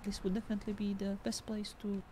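A woman with a mid-pitched voice improvises her part in an online roleplay. She speaks haltingly, searching for words, with faint noise and game ambience around her. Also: little pitch movement, mellow. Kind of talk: improvised in-character conversation.

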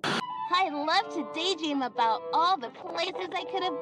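A cheerful little girl presents a cartoon children's show. Her voice is high and animated, and she speaks slowly and sing-song, putting questions straight to young viewers. Music plays behind her. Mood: bright, cheerful. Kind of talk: children's educational cartoon acting.

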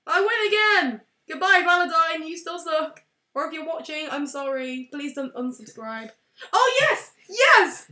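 Young woman, bright voice, excitable game commentary, roomy echo. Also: very high-pitched, unhurried. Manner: excitable, shouts and squeals at wins and losses. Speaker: let's play host. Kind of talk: casual gameplay commentary.

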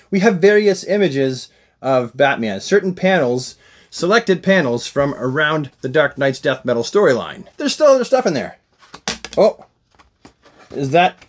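A middle-aged man hosting a casual, enthusiastic unboxing vlog, talking in an animated, exclaiming way. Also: on the high side. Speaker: unboxing review host. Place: small indoor room lined with shelves of collectibles.

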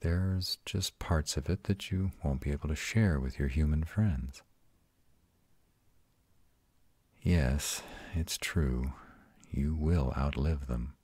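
A man playing a proud but tender vampire lover in an intimate comfort roleplay, speaking in a soothing, reassuring way with a breathy, husky voice. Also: extremely low pitch, a little mellow, dry recording, heavily acted. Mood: gentle, solemn. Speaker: voice actor in a roleplay audio.

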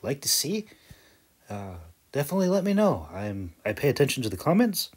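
A man's voice: medium pitch, very animated, brisk, husky. A man giving a casual, rambling vlog voice-over in a hesitant, self-deprecating, chuckling way. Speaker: video creator and hobby audiobook narrator.

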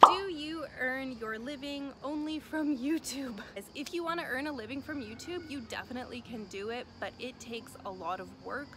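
High-voiced young American woman vlogging. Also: moderate pace, hardly any pauses, noisy background. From United States. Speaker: vlogger answering viewer questions. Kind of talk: casual Q&A chat to camera. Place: outdoor rooftop balcony above a city.

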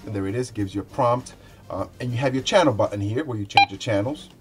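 A man in his forties giving a casual, unscripted product review in a conversational, halting way, often repeating words as he goes. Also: fairly low pitch. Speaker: product reviewer, show host. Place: small indoor room with shelves.

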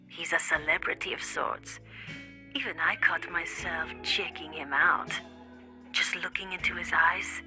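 Slow, sultry, whispering woman. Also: on the low side, pushed and bright. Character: seductive, aloof adult female narrator of assassins. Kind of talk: scripted dramatic narration.

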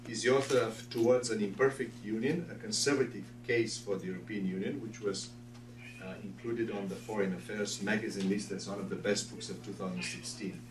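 A man's voice: on the low side, steady tone, fairly quick. A middle-aged man hosting a seminar, formally introducing a guest speaker from his notes with a dry aside. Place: seminar room with a long table. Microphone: far room camera. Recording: echoey room from far off, background hum.

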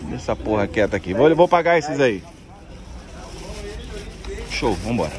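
A man's voice: fairly low pitch, vocal fry. A man, chatting to viewers in a casual, slangy way while filming himself bargain hunting outdoors on a handheld phone. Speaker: vlogger, game hunter. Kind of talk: casual vlog at a street market. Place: outdoor street flea market. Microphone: handheld phone camera. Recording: crowd noise from a busy street market. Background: market crowd chatter.

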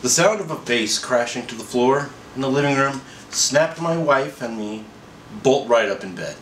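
A middle-aged man in a folksy, unhurried manner, chatting casually and reading a story aloud. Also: medium pitch, lively intonation, fairly bright tone. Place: small indoor room. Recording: roomy echo, faint background noise.

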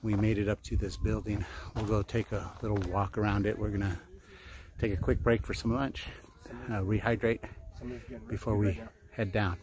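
A man's voice: on the low side, level tone, moderate pace, crowd noise. Middle-aged male hiker, casual, worn out, filler-heavy, phone at arm's length outside. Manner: tired, chatty, many fillers, laughs easily. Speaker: hiking vlogger. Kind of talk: casual hiking vlog. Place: outdoors on a mountain trail. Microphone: handheld phone camera at arm's length.

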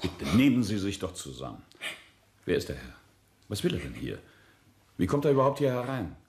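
A man's voice: sits low, wildly swooping pitch, a little fast, a little mellow. An actor playing a middle-aged, coolly controlled rich businessman and family patriarch in a radio drama. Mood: irritated. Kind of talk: German radio drama acting.

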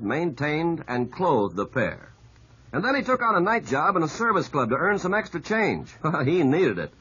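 A man's voice: medium pitch, pushed and bright. A man announcing on 1950s radio, telling scripted items with polished, folksy delivery. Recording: muffled, husky-sounding old radio recording.

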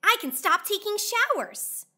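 A woman's voice: very high voice, big pitch swings, unhurried, sharp tone, pitched above the performer's own voice, heavily acted. A woman voicing an eager, earnest young animal pupil in a children's storybook. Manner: eager, exclamatory.

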